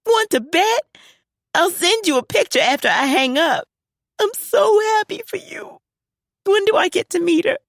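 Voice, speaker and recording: A woman voicing an excitable grown daughter who gushes and squeals on the phone. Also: sits high, slow pace, dry recording, clean studio sound, pitched above the performer's own voice, full character voice. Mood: gushing. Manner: squealing, teasing, emotional.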